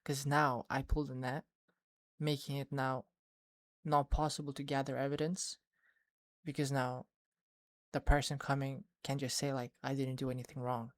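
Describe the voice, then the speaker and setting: Flat-voiced man recapping. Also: mid-range voice, normal speed, no room sound, studio quality. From Sweden. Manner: rambling, many restarts and fillers. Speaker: fishing vlogger. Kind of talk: casual voice-over recap.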